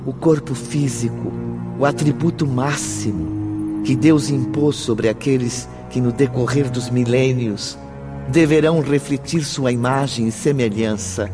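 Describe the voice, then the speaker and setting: A man's voice: medium pitch, unhurried, over music. A man with a Brazilian accent narrating a radio drama in a solemn, theatrical manner.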